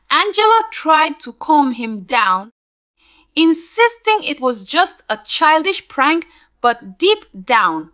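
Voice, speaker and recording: A woman narrating a spooky scripted tale in a dramatic, deliberate storytelling manner. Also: high voice, pushed and bright, no room sound.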